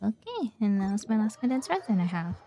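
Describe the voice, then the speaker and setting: A woman's voice: mid-range voice, big pitch swings, brisk, warm tone. A young woman giving casual, chatty and playful gameplay commentary as a streamer. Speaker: game streamer. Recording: faint game music behind. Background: video game music.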